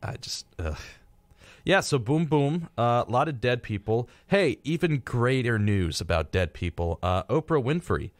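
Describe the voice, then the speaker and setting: A young American man co-hosting a radio show, chatting casually and reading notes with flippant, dark humour. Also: mid-range voice, very animated, measured pace, dry recording. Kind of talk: casual joking chat mixed with reading notes. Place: radio studio.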